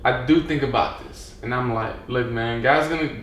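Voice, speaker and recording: A man's voice: mid-range voice, moderate pace, fairly bright tone. A man hosting a podcast, talking bluntly and casually, swearing freely and calling his guest "bro". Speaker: podcast host. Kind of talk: casual chat. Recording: roomy with faint noise.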